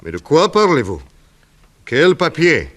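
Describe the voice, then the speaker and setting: An actor playing a desperate, lovesick Russian émigré and confessed murderer, with a Russian accent, in theatrical 1960s French radio drama style. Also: male voice, a little high, huge pitch swings, a little slow, fairly bright tone, heavily acted. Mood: guarded, uneasy.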